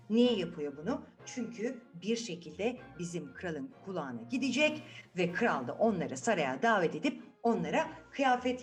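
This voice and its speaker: A woman telling a fairy tale to children in an animated, conversational way, checking in with her listeners. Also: medium pitch. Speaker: children's storyteller.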